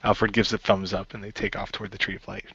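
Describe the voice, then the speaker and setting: A man's voice: fairly low pitch, flat delivery, fast, vocal fry, pushed and bright, slightly rough, no echo, dull audio. A man narrating improvised action in the present tense for an amateur radio play.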